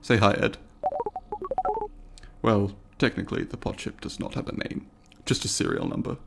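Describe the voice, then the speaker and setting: A man's voice: on the low side, moderate pace, gravelly, a bit bright, faint music behind, exaggerated voice. A man voicing a polite, eager alien student in an ASMR roleplay, speaking formally and precisely to the listener in an inquisitive, apologetic way. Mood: friendly, brisk.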